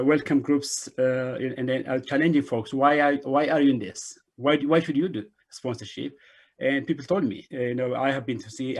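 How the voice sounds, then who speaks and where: A middle-aged man giving a conference presentation over a video call, repeating words and phrases to drive points home. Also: medium pitch. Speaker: invited speaker, refugee organization director. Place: small indoor room, remote video call.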